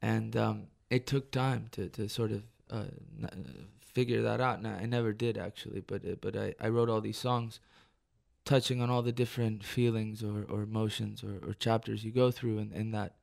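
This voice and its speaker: A man from Detroit, a musician guest on live radio, talking in a reflective, halting way with many restarts. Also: fairly low pitch, fairly even intonation, gravelly, a little mellow. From Detroit, United States. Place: radio studio.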